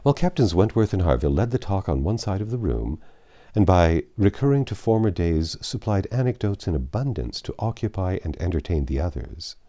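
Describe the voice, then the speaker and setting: A man reading classic literary fiction aloud as an audiobook narrator, in a measured, expressive storytelling manner. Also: sits low.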